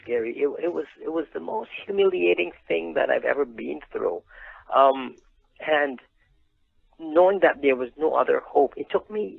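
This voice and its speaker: Gravelly Guyanese man on tinny phone line. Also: medium pitch, no room sound. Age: middle-aged. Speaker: interview guest, print business owner. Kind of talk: conversational personal storytelling. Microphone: phone call.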